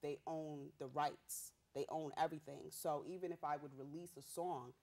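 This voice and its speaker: A young woman rapper from Haiti, a Haitian Creole speaker, telling her story in a bubbly, animated way with street slang. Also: low-pitched, moderate pace. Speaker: rapper guest. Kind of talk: casual interview.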